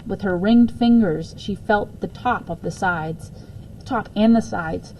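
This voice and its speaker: A woman reading a children's book aloud as storyteller, expressive in her narration. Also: mid-range voice, unhurried, poor audio. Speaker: story reader. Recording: faint background noise.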